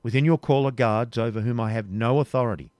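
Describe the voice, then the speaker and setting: A man reading an audiobook, voicing a proud medieval knight in a grave, measured manner. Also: medium pitch, a little mellow, no room sound, studio quality, close to an everyday voice. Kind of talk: audiobook reading, period novel.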